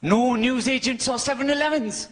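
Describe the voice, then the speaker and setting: Indian guy doing standup, high voice that swings around a lot, cheeky and wry, noisy live recording. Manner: cheeky, wry delivery with pauses for punchlines. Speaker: stand-up comedian. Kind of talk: stand-up comedy routine. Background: audience laughter.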